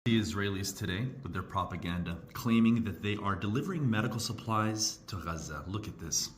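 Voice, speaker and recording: Low-voiced sarcastic man. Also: expressive, brisk. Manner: sarcastic, with hushed asides. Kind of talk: social media commentary.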